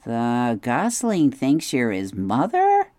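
A reader voicing a quiet, gentle young male beaver who speaks softly. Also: mid-range voice, very animated, moderate pace, vocal fry, no echo. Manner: soft, quiet.

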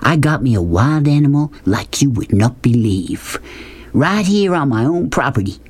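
An audiobook narrator voicing a large, loud Southern man, jovial and bossy, with a folksy, hollering manner. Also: mid-range voice, sing-song, slightly rough, no room sound, voice pushed up. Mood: sly, coaxing. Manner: hollering, folksy rural speech, rapid-fire questions. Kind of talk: audiobook character dialogue.

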